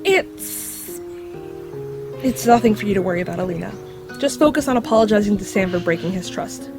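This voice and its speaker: A woman's voice: mid-range voice, animated, brisk, music in the background, natural voice. A woman speaking scripted lines as a voice actor in an audio drama.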